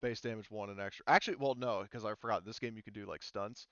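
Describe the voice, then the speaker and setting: A man's voice: fairly low pitch, fast, fairly bright tone, no echo, dull audio. A man running a tabletop roleplaying game as game master, casually explaining rules.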